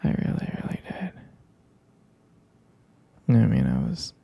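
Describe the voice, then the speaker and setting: A man playing a gentle, sleepy vampire boyfriend in an intimate ASMR bedtime roleplay, murmuring tenderly and hesitantly in a breathy, gravelly half-whisper. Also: a bass voice, huge pitch swings, measured pace, dull audio, full character voice. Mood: fond, reminiscing.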